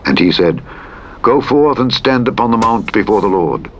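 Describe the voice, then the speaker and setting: A man reading scripture as a scripted narration, in a measured, solemn and declamatory manner. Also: fairly low pitch, very animated, rough recording. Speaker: audiobook narrator. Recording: clear vehicle noise over a radio playback. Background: truck engine and driving noise from a simulator game.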